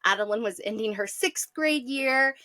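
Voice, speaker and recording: Bright, chatty woman podcast co-host. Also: fairly high pitch, moderate pace, no room sound. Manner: chatty, rambling with fillers. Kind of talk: casual chat.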